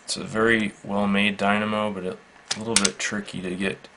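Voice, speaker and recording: A man giving a casual, unscripted product demonstration in a matter-of-fact, halting, measured way. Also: lowish voice, little pitch movement, bright, forceful tone. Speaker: product reviewer. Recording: faint background noise.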